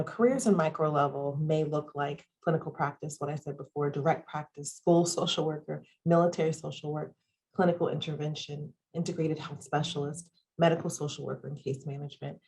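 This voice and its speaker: A woman's voice: deep voice, fairly even intonation, normal speed, creaky, darkish tone, husky, poor audio. A woman presenting and moderating an online panel on a video call, upbeat and listing items with frequent ums. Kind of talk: webinar presentation.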